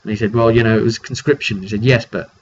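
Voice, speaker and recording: A British man giving a casual, rambling recap of a roleplay game session, often halting mid-sentence as he tells the story. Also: lowish voice, fairly even intonation. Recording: dull lo-fi recording with background noise.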